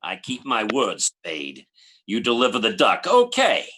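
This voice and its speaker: A man playing a bossy, threatening gangster boss in an old-time radio detective play, with slangy, drawn-out delivery. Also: on the low side, wildly swooping pitch, sharp tone, a little hiss or hum, heavily acted. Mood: menacing.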